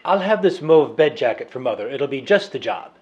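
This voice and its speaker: A man playing a fussy, forward middle-class gentleman in a dramatized novel reading, chatty and genteel. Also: medium pitch, very animated, a little fast, dull audio, close to an everyday voice. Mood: breezy, forward.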